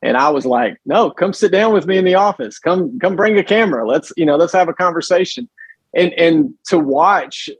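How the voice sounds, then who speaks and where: A man with a loud voice and a Southern US accent, talking emphatically in an interview with many restarts. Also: a little high, animated, moderate pace, husky, dry recording. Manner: emphatic, frequent restarts and fillers. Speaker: guest, pregnancy center director. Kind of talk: conversational interview.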